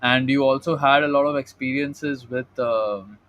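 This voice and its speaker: This is a man hosting a podcast in a casual, easygoing style. He leans on fillers and speaks to his guest with open, effusive praise. His voice is mid-pitched and plain. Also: a little slow, a bit bright, low-quality recording. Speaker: podcast host. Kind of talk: casual interview chat.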